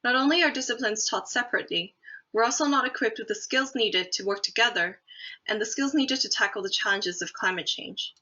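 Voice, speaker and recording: Bright-voiced young woman giving a speech. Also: a little high, fairly quick. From Hong Kong. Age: young adult. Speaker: awards finalist giving a speech. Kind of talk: prepared speech. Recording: dull lo-fi audio.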